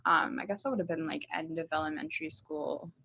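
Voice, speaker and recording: A woman in her thirties who grew up in Hong Kong talks through interview answers, pausing often with ums and likes. Her voice is husky with a gravelly edge, yet bright in tone and pitched a little low. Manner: relaxed, thinks aloud with many ums and likes. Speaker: musician being interviewed.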